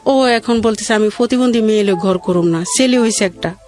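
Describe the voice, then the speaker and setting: A middle-aged woman with a colloquial regional Bangladeshi accent tells her own life story in a halting, rambling, plaintive way. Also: mid-range voice, darkish tone. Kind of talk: emotional personal storytelling.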